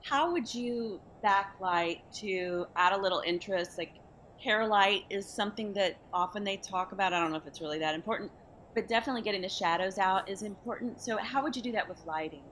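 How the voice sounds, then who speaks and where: A woman with a medium-pitched voice asks questions as an attendee. She is polite and a bit apologetic, speaking casually, with noticeable background noise on her audio. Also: a little fast, fairly bright tone, poor audio. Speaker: audience member asking questions.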